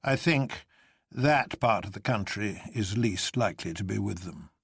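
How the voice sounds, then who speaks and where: Audiobook role: scornful, didactic detective played by a man, emphatic, highly varied pitch, slightly rough voice. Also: expressive, measured pace, no echo, studio quality, exaggerated voice. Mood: matter-of-fact. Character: scornful, worldly male detective, lecturing his companion.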